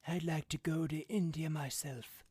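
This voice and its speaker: A man voicing an excitable, grumbling old father as a character in a storybook reading. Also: on the high side, moderate pace, husky, pitched above the performer's own voice. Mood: eager, curious.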